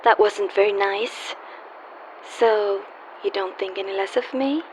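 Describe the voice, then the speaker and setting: A woman's voice: medium pitch, unhurried, lightly acted. A woman playing a wry, world-weary young call girl in a radio play, teasing yet guarded and wistful. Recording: dull lo-fi audio with faint hiss.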